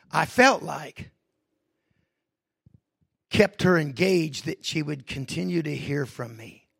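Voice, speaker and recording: A middle-aged man preaches with a gravelly, husky voice. He talks quietly and reflectively about family, then rises into loud, emphatic preaching. The sound is dry and close. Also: high voice, no echo. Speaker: pastor. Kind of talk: sermon.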